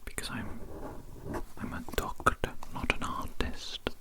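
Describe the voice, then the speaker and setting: A performer voicing a self-important but polite alien head doctor in a whispered ASMR roleplay, speaking deliberately and repeating phrases soothingly. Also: natural voice. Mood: gentle, attentive.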